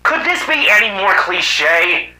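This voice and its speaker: A man voicing a sardonic cyborg animal hero from another planet in an amateur animated drama, with muttered asides and exclamations. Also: high voice, unhurried, exaggerated voice. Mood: cocky, sardonic. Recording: roomy, echoing voice track.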